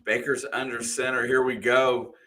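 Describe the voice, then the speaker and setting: A man with a bright, forceful voice doing fan play-by-play for a live stream. He fires off each snap in quick bursts, then drops back into easygoing chat. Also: medium pitch, little pitch movement. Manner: calls plays in quick bursts, then chats casually.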